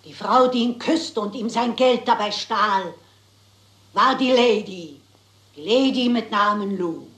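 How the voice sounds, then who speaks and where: An actress playing an eccentric, unflappable elderly spinster sleuth in a German crime radio play, genteel, deliberate and theatrical in delivery. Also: female voice, mid-range voice, a bit bright, echoey room, lightly acted. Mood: dramatic reciting. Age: older.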